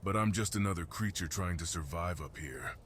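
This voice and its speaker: Man voicing a very deep, gentle mountain guardian creature. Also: a little hiss or hum. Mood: reassuring. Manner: measured, solemn, reassuring. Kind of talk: ASMR fantasy roleplay, slow intimate monologue.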